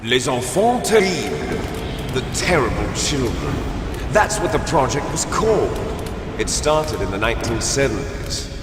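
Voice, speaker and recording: A grandiose, bitter soldier villain in a video game. He declaims slowly and menacingly, taunting with theatrical pauses and wide swings of pitch. The voice rings in a long reverb, with music underneath. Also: sing-song. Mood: solemn exposition. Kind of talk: dramatic video game voice acting. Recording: echoey hall reverb under loud music.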